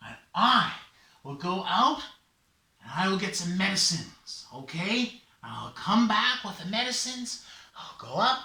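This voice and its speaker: A man voicing a brash, smooth-talking raven who poses as a reassuring dentist in a children's story. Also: high voice, very animated, measured pace, sharp tone, voice pushed up, full character voice. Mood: confident, reassuring. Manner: smooth-talking, emphatic, salesman-like patter. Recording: roomy echo, distant mic.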